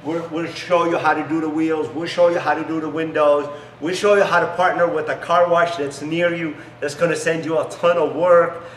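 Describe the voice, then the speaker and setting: Middle-aged non-native male founder, eager sales pitch, slightly high voice, recorded off-mic in a roomy garage. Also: little pitch movement, normal speed, hardly any pauses, fairly bright tone, slight background noise. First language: not English. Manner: enthusiastic salesy pitch with broken phrasing. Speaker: business founder. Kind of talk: promotional demonstration. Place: large garage workshop. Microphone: camera mic from a few steps away. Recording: echoey garage, distant mic.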